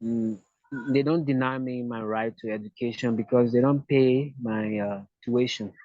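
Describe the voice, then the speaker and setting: A man's voice: mid-range voice, animated, normal speed, gravelly, warm tone, no room sound. A man from Liberia with a Liberian accent, answering interview questions hesitantly, often repeating words and restarting. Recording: dull lo-fi dry sound.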